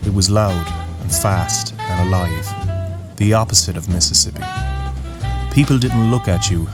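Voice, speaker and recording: A man narrating a scripted dramatic story in a solemn, poetic, deliberate way. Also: sits low. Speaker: narrator, host of a story series. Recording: music bed under the voice. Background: music.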